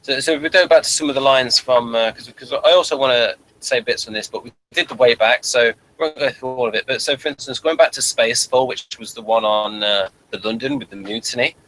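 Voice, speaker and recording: A man with a mid-pitched voice talks through a show in a loose, conversational review. He rambles and halts, often restarting with the same phrase as he guides the discussion. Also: a bit bright, slight background noise, low-quality recording. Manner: halting, rambling, repeats phrases. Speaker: host of a TV episode review.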